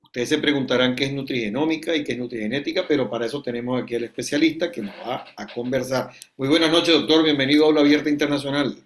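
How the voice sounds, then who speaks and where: A man's voice: mid-range voice, fast talker, hardly any pauses. Informal male interview host, mid-range voice. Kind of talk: conversational interview hosting.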